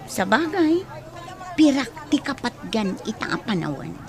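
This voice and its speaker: A woman's voice: fairly high pitch, big pitch swings, a little slow, vocal fry, crowd noise, full character voice. A Filipino woman playing a worldly, pragmatic character in a radio drama. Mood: intrigued, teasing.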